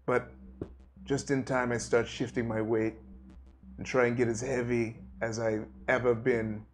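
A man playing a tabletop game, describing his character's actions in a rambling, animated way full of "like"s. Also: mid-range voice, measured pace, slight background noise. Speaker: player. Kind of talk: tabletop roleplay narration.

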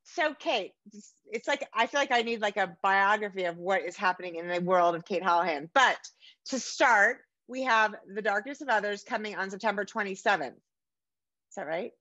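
A woman's voice: medium pitch, normal speed, pushed and bright, dry recording. American woman hosting, slightly muffled sound. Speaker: podcast host interviewing an author. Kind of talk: casual interview chat. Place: book-lined room at home, indoors. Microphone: video call.

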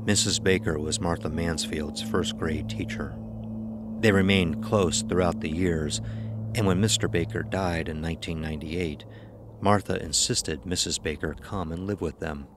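Deep-voiced man narrating horror. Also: fairly even intonation, moderate pace, background noise. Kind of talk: scripted horror story narration.

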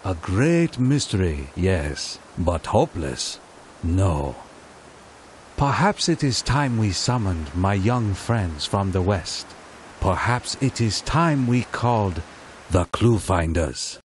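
A man playing a solemn, wise old village lama who speaks gravely and cryptically in a children's game. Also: low-pitched, sing-song, slow, a little hiss or hum, exaggerated voice. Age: older. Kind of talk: children's educational game acting.

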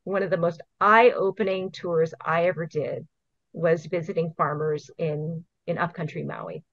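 A woman's voice: on the low side, steady tone, a little slow, no room sound. Middle-aged woman from hawaii on a video call, earnest, lays it out step by step, kinda muffled dry audio. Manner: earnest, explains step by step, laughs now and then. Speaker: invasive species program manager, guest. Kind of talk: casual interview answers.

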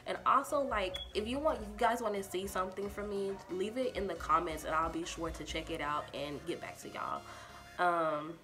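A woman's voice: fairly low pitch, normal speed. A young woman from Texas with a Liberian accent, chatting through a get-to-know-me Q&A with lots of ums and giggles, filmed indoors in a small room. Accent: Liberian English. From Texas, USA. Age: young adult. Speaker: YouTuber.

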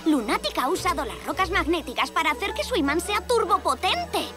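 A woman's voice: very high voice, sing-song, a little fast. A woman voicing a sensible, confident young superhero girl in a cartoon, with an earnest manner. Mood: alert, determined. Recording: loud music behind.

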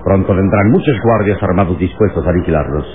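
An actor playing a calm, authoritative heroic leader in an old radio drama, speaking firmly and deliberately. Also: male voice, sits low, crowd noise, lightly acted. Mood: calm, resolute. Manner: firm, commanding, deliberate. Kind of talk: vintage radio drama acting. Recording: tinny, noisy old radio recording.

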